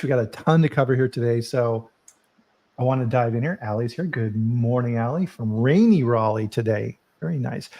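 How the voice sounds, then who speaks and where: A man's voice: mid-range voice, a little mellow. Middle-aged male host, casual, chatty, jokey. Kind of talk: casual live webinar chat.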